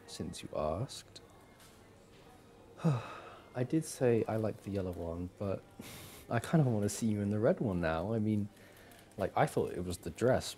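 Man playing a low, mellow, doting boyfriend. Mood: eager, affectionate. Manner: hesitant, halting, affectionate. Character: doting, smitten young boyfriend on a shopping date. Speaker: audio roleplay performer. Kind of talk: boyfriend ASMR roleplay, acted one-sided conversation.

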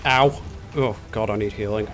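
A man's voice: lowish voice, moderate pace. A man chatting casually as he plays a co-op game with friends, swearing and teasing them as he goes. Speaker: co-op gamer playing with friends. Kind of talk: casual gameplay chat and commentary. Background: game music and effects.

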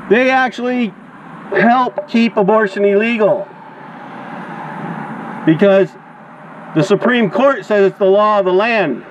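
A man doing open-air protest preaching through a megaphone, exhorting and declamatory like a street preacher. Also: sits high. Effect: megaphone amplification. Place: outdoors on grass beside a sidewalk and parking lot. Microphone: action camera beside the megaphone. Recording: dull outdoor recording with traffic noise.

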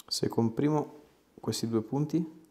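A man's voice: medium pitch, unhurried, warm tone. A man, working as a chiropractor and giving a patient short instructions and questions in a small treatment room. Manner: short directive phrases and questions. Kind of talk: calm hands-on clinical instructions to a patient.

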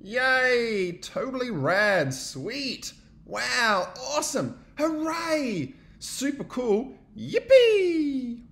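A man voicing impatient, excitable little forest animals from a storybook, in shrill exclamations. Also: extremely slow, creaky, heavily acted. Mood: delighted.

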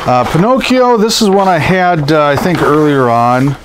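A man's voice: on the high side, animated, moderate pace. Middle-aged man, scratchy and congested from a cold, loose chatty delivery with filler words. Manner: rambling, lots of ums and uhs. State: stuffed up from a head cold. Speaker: collectibles seller. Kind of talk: casual show-and-tell narration. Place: indoors over boxes of posters.

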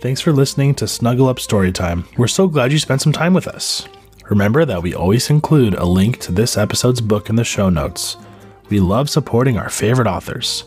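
A man reading a children's storybook aloud as the host, in expressive narration with a slightly husky voice. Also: mid-range voice, brisk, soft background music. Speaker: story podcast host and reader.

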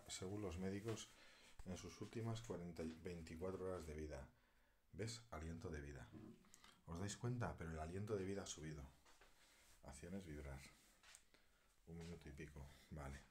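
Deep-voiced man rambling to followers. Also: a little hiss or hum. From Spain. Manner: rambling, thinking aloud while working a device, at times muttering half-whispered. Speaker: alternative healer. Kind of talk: casual live video talk to followers.

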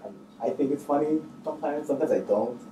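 A man's voice: fairly high pitch, fast. A man in his thirties speaking casually and hesitantly as he answers as a screenwriter on a panel, thinking aloud in informal language. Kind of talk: casual spoken answers at a public talk. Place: indoor stage in an auditorium, seated. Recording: roomy, distant miking, faint noise.

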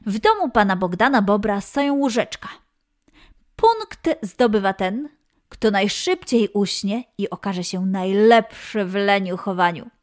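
A woman narrating a scripted children's story in an expressive, deliberate manner. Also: medium pitch.

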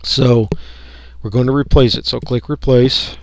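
A man talking through a software tutorial step by step in an unscripted, folksy way. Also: mid-range voice, fairly even intonation, a little slow, no echo. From United States. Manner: unscripted, folksy, explaining each click. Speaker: radio hobbyist giving a tutorial. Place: indoors, voice-over for a screen recording.

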